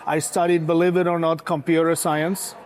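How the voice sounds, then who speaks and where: Man, restaurant founder guest, fairly high voice, halting with lots of "uh"s. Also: little pitch movement. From Morocco. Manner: hesitant, many filler sounds. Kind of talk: casual interview.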